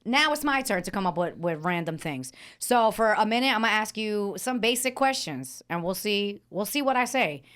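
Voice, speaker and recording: A woman's voice: medium pitch, expressive, normal speed, hardly any pauses, a bit bright. A woman hosting a podcast in a recording studio, talking in an exuberant, playful and slangy way. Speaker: podcast host. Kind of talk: casual interview chat.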